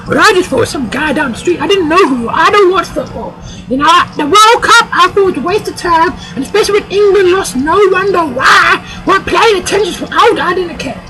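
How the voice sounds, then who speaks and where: A performer voicing a loud, sassy older woman guest on a comic talk show, rambling and calling everyone "child". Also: very high-pitched, low-quality recording. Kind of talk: comic sketch.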